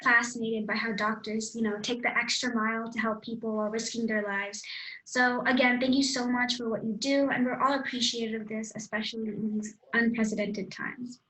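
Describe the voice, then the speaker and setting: A young woman interviews a guest in a polite, informal, appreciative manner. Her voice sits a little high, with strong room echo and faint noise on the recording. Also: fairly even intonation, a little fast, bright, forceful tone. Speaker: interview host.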